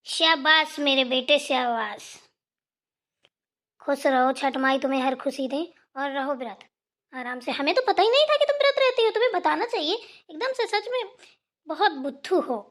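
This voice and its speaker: A woman voicing a bossy but affectionate Indian mother-in-law, brisk and scolding in a cartoon style. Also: sits high, expressive, a bit bright. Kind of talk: Hindi family cartoon voice-over.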